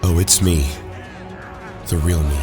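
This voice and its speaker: A man's voice: deep voice, steady tone, slow, over music. An actor playing a cold, taunting young brainwashed villain in an audio drama, sneering and mocking as he threatens.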